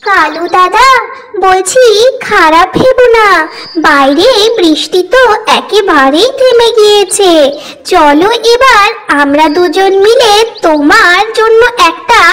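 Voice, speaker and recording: A woman voicing a kind, trusting little tailorbird mother in a children's cartoon, speaking sweetly and gently. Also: very high voice, lively intonation, slow pace, exaggerated voice, low-quality recording. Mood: gentle urging.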